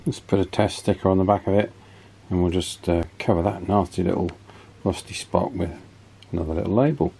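A British man with a fairly low voice talks casually through a hands-on repair demo. He is chatty and informal, explaining things as he works at an indoor bench. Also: fairly quick, vocal fry, warm tone, slightly rough. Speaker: radio repairer presenting a video. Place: indoor electronics workbench.

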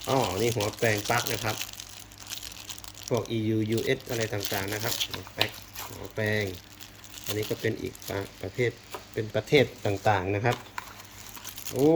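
A man with a mid-pitched voice talks casually through a product unboxing. He thinks aloud in an exploratory, polite way. There is some faint background noise with handling rustle and clicks. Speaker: unboxing video host.

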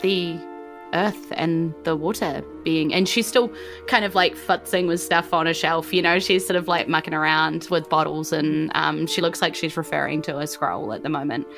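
A woman's voice: low-pitched, steady tone, a little fast, vocal fry, music in the background. A woman, narrating a tabletop game casually and informally as game master. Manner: informal, full of "like" and "sort of".

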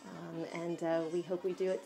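A woman's voice: lowish voice, level tone, moderate pace, gravelly, mellow, faint music behind. An American woman in her thirties, a theater artistic director, speaking casually and enthusiastically to camera. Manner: enthusiastic, gushing with superlatives. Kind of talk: casual promotional address and interviewing colleagues. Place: small indoor offices of a theater.

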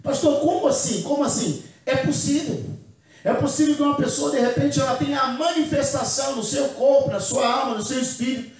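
A man's voice: extremely high pitch, a little fast. A man in his thirties preaching a Pentecost sermon as pastor in a bare church hall, speaking into a handheld microphone and leading the congregation in repeating phrases. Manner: preaching with call-and-response, gesturing with a handheld mic. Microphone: handheld mic. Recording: very echoey hall, from a distance.

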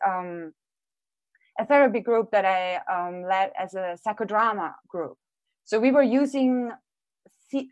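A woman's voice: medium pitch, no echo. A woman in her forties from Austria, giving a casual live talk about her art over a video call, thoughtful, with frequent ums and restarts. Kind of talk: casual presentation. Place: small room at home. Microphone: earphone headset on a video call.

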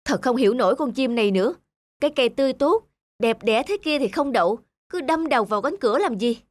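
A man's voice: very high-pitched, animated, quick pace, no echo. A man voicing a discontented young husband in a cartoon, envious, grumbling and easily irritated. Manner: grumbling, complaining. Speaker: voice actor. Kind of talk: cartoon dialogue dub.

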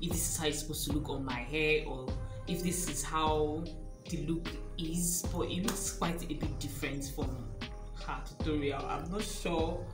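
A young Nigerian woman talks in a low, easygoing voice straight into a camera held close. She sounds chatty and a little unsure, laughing things off. The room rings with echo and music plays underneath. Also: fairly even intonation, moderate pace. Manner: chatty, laughing often, unsure and self-teasing. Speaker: vlogger. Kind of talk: casual hair vlog. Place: small room indoors, rain outside. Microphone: camera close, at arm's length. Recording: echoey room with background music.

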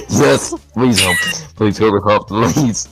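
A man's voice: lowish voice, takes it slowly, faint music behind. A man voicing an unhinged, whiny echidna knight in an improvised comedy fan dub, muttering and pleading nervously in broken phrases.

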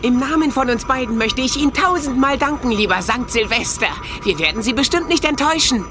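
A woman's voice: high voice, animated, brisk, music in the background. A woman playing a small, anxious, polite tomcat in a children's radio play, speaking earnestly. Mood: grateful, polite.

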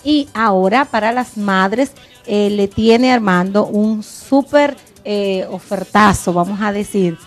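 A woman co-hosting a TV show on a handheld mic, lively and quick to laugh. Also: mid-range voice. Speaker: TV talk show co-host. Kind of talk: reading ads and casual chat. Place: TV studio set. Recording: TV broadcast with background music.

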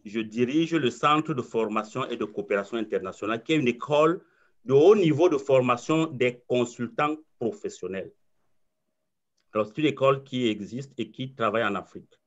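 A man's voice: mid-range voice, normal speed. Earnest self-assured man on a video call. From Cameroon. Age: 40s. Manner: earnest, self-assured, repeats words while thinking. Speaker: coach and trainer. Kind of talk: webinar presentation. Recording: slightly muffled video call audio.

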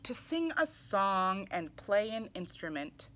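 A woman reading a picture book aloud to young children in an animated, exclamatory way. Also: medium pitch, takes it slowly. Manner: animated, exclamatory, with audible gasps. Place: small indoor room, seated in armchair.

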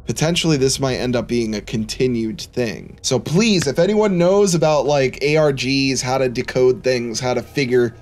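A man's voice: medium pitch, moderate pace, few pauses, darkish tone. A man in his thirties giving casual, conversational commentary to his viewers as a gaming YouTuber. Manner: informal, conversational. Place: small indoor room, seated at a desk. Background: music.

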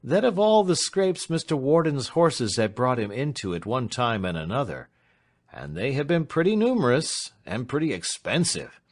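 A man's voice: mid-range voice, very animated, moderate pace, voice pushed up. A man voicing a shrewd, whimsical older lawyer with a dry, emphatic manner in a storybook reading. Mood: grave, pointed. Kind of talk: dramatised reading of classic fiction.

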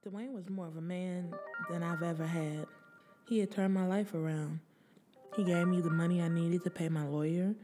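Woman, audiobook narrator, mellow tone, expressive slangy storytelling, faint music underneath. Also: fairly low pitch. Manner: expressive, streetwise slang delivery. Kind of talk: first-person dramatic fiction narration. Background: background music.

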